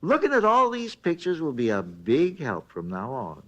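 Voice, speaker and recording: A man's voice: on the high side, moderate pace, muffled recording, exaggerated voice. An actor playing a chatty, folksy older man looking over mug shots, in a 1950s radio drama. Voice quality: gravelly, lively folksy drawl. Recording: old radio broadcast recording.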